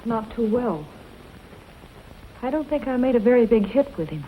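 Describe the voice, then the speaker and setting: A woman's voice: a little high, animated, moderate pace, lightly acted. A woman playing a polite, earnest newcomer lady in 1940s western film style. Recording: dull old film soundtrack, faint noise.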